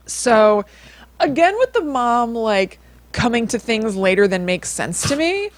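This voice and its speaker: A woman's voice: a little high, big pitch swings, unhurried, no echo. Chatty woman telling a story, close dry sound. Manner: informal, chatty, peppered with "like". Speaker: podcast co-host retelling a paranormal TV episode. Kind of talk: casual conversational storytelling.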